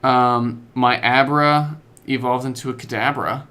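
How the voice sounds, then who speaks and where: A man's voice: mid-range voice, fairly even intonation, unhurried, bright, forceful tone. A man chatting casually as a podcast co-host about video games, telling stories in slangy, informal language.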